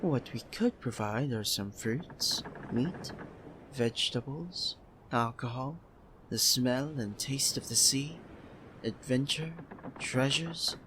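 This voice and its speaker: A man's voice: medium pitch, takes it slowly, background noise, lightly acted. A man playing a suave, self-assured pirate captain in an ASMR roleplay, courteous and playfully smug. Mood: teasing.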